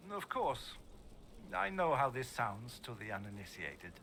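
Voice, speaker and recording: An actor playing a solemn necromancer teacher in a video game, speaking in a formal, grandiose and deliberate way. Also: male voice, mid-range voice, very animated, fairly bright tone, slight background noise. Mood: solemn, proud. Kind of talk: video game character dialogue.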